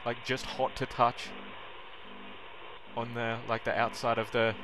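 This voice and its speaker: A man's voice: mid-range voice, little pitch movement, a little slow. A young man giving an informal, self-deprecating how-to narration. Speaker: vlogger demonstrating how to make coffee. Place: small home kitchen, indoors. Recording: noisy kitchen recording with clicks and clatter.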